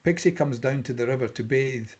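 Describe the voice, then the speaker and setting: A man with a husky voice reading scene headings and stage directions as scripted narration at a table read. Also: mid-range voice, a little fast, darkish tone, muffled recording.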